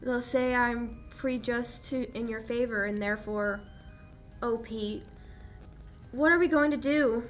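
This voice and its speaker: A young woman acting an anxious, sharp-tongued fiancée in a 1940s-style radio drama. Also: on the high side, unhurried, soft background music, lightly acted. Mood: indignant, anxious. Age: young adult. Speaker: high school actor. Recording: background noise.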